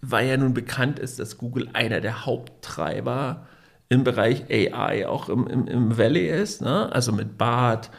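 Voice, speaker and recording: A man's voice: medium pitch, a little slow, gravelly. A man co-hosting a casual business podcast, telling stories with many fillers and restarts. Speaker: podcast co-host.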